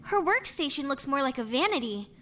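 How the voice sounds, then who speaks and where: A high-voiced young woman investigator played by a voice actress in an animated mystery, casual and tidy-minded. Her pitch moves around a lot, and there is some noise behind her. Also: brisk, exaggerated voice. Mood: casual, curious. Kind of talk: voice acting in an animated murder mystery.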